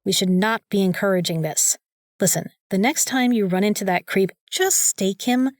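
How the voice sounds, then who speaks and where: A woman's voice: mid-range voice, moderate pace, no echo, close to an everyday voice. Woman playing a snarky bossy college girl in an audio drama, super opinionated, very dry recording. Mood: scolding, sarcastic. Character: bossy, sarcastic young female student, vampire slayer's sidekick.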